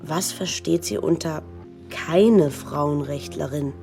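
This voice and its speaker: A woman's voice: low-pitched, slow pace, mellow, heavily acted. An actress playing a skeptical woman commentator who makes ironic, sardonic remarks. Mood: wry. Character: wry woman commentator, skeptical and sharp-tongued. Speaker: commentator in the drama. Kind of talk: scripted radio drama commentary. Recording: clear music underneath.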